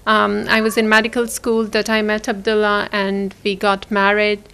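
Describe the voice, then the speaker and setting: A soft-spoken woman from Afghanistan answering interview questions, often pausing with fillers. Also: mid-range voice, monotone, smooth.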